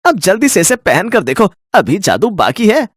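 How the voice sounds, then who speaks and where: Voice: mid-range voice, very animated, normal speed, slightly rough, no room sound, clean studio sound. A performer voicing a kindly magical talking parrot in a cartoon story, earnest and encouraging.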